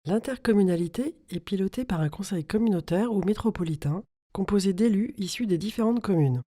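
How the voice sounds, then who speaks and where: A woman reading a scripted informational narration as an explainer, in a clear, didactic manner. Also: mid-range voice, fairly quick, dark tone.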